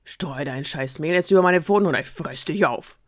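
A woman's voice: sits low, fairly quick, no room sound, clean studio sound, close to an everyday voice. A woman voicing a menacing male wolf in a storybook reading, growling orders and threats. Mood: threatening. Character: menacing male big bad wolf, gruff and bossy.

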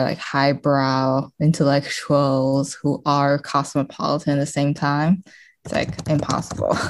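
A woman's voice: deep voice, a little mellow. A woman speaking casually as a podcast guest, searching for her words with frequent "like" and "you know". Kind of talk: casual interview conversation.

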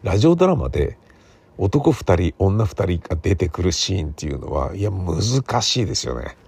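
A man talking casually on his own, thinking aloud in a rambling, self-correcting way with a gravelly, husky voice. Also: sits low, big pitch swings, moderate pace, a little mellow. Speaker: radio drama writer and theatre playwright.

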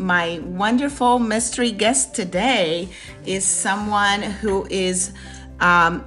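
A woman's voice: mid-range voice, fairly bright tone. A woman from Poland hosting a podcast, interviewing a guest with upbeat enthusiasm. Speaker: podcast host.

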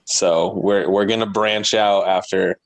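A man's voice: low-pitched, steady tone, measured pace, no echo, poor audio. A man speaking as a podcast guest in a casual group chat, joking and informal.

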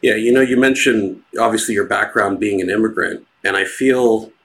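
A man's voice: lowish voice, normal speed, a bit bright. Hesitant male host from Greece. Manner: hesitant, many fillers and restarts. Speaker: podcast host. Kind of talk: interview questions.

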